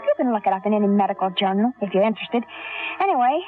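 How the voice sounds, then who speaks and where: An actress playing a scheming, hard-boiled gangster moll with a tough, wry, slangy delivery in an old radio crime drama. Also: female voice, mid-range voice, expressive, racing, lightly acted. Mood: brisk, bossy. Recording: tinny old radio broadcast, music behind.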